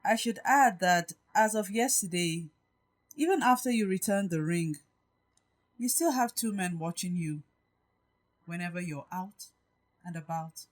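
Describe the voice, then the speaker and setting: Audio drama: poised, strong woman with walls up, played by an actress, composed and deliberate, lowish pitch. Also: animated. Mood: businesslike, informative. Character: Gabrielle, poised, self-assured woman behind emotional walls.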